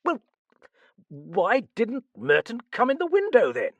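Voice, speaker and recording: A man's voice: high voice, huge pitch swings, normal speed, creaky, fairly bright tone, breathy edge, no echo, pitched above the performer's own voice, heavily acted. A man voicing a nervous, bearded English knight in a story, alarmed and fumbling as he questions. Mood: shocked disbelief.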